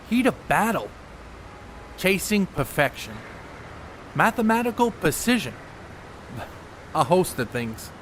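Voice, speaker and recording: A man acting a bookish ballplayer over loud background noise. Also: on the high side. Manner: precise, literate, poetic phrasing. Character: bookish, thoughtful college-educated 1930s ballplayer, quietly self-assured. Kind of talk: amateur stage play acting.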